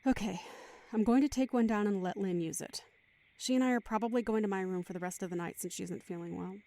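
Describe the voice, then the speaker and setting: A woman plays a caring mother in an audio drama, weary yet attentive. Her voice sits at an ordinary middle pitch, and she speaks with practical, motherly care. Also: fast talker, a little mellow, natural voice. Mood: tired, practical. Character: caring, tired mother hosting a sick young guest.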